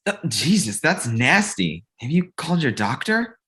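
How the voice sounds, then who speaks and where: A man's voice: a little high, sing-song, normal speed, a bit bright. A man playing a concerned, cautious roommate in a script read. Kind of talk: screenplay table read.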